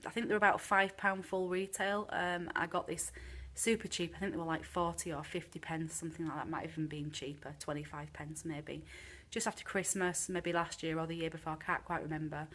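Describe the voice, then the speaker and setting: A woman's voice: low-pitched, level tone, fairly quick, few pauses, fairly bright tone, slight background noise. A woman with a British accent giving a casual, frank candle review, a little chesty from a cold. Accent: British English. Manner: chatty, frank, many ums. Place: home room with candles burning.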